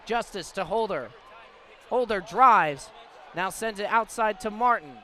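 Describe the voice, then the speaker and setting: High-pitched American man calling basketball. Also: unhurried, pushed and bright. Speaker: radio play-by-play basketball commentator. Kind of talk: live sports play-by-play commentary. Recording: live broadcast with clear background noise. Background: basketball game ambience, bouncing ball.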